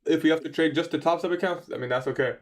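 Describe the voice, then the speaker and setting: A man's voice: on the high side, racing. Laid-back young man, says bro a lot. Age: 20s. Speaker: livestream trader. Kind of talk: casual livestream chat reading viewer questions.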